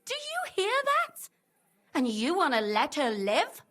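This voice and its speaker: A woman's voice: high voice, huge pitch swings, slow pace, pushed and bright, exaggerated voice. An actress playing a fierce, vengeful woman in a video game drama, menacing, mocking and impatient. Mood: vengeful anger. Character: fierce, vengeful young woman, threatening and sarcastic.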